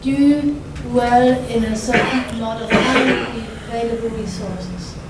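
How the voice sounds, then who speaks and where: A woman's voice: a little high, fairly even intonation, unhurried. A woman on a panel giving advice, hesitant and full of fillers. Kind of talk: panel discussion. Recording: echoey room from far off, noisy.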